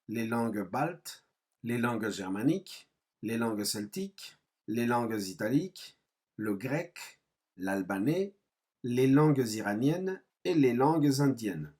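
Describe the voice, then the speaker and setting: A man's voice: medium pitch, lively intonation, slow, darkish tone, breathy edge. A man narrating a scripted explanatory lesson as a language teacher, in a didactic manner with foreign terms mixed in. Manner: didactic, mixing in French terms.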